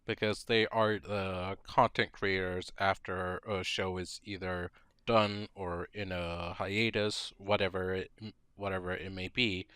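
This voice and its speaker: A man co-hosting a podcast, discussing casually in measured, hesitant phrases. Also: deep voice, level tone, bright, forceful tone, clear, resonant tone, no room sound. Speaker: podcast co-host.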